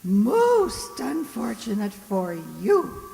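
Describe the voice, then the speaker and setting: A sinister woman in a radio play, menacing and theatrical. Her high, gravelly voice crawls along very slowly and swoops in pitch, in a reverberant hall. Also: wildly swooping pitch, heavily acted. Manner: drawn-out, menacing. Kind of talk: theatrical radio drama acting. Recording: echoey hall with long reverb.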